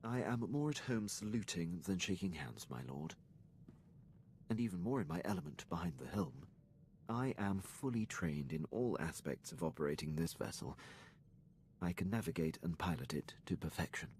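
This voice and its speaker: An actor playing a formal, dutiful imperial starship captain in a video game, with stiff, deferential and precise military diction. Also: male voice, low voice, animated, normal speed, darkish tone, slight background noise. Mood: formal, proud. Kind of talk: scripted video game dialogue.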